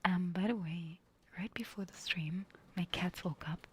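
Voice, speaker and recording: A woman doing a casual ASMR livestream in a breathy whisper and hushed stage whisper, flustered and halting, often repeating her words. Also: on the low side, slight background noise. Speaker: livestreamer hosting an ASMR stream.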